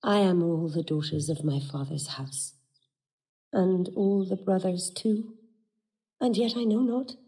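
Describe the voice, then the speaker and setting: A woman's voice: on the low side, measured pace, mellow, rough recording. A woman playing a wistful young page, a girl disguised as a boy, in a classical Shakespeare audio drama. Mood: wistful, restrained.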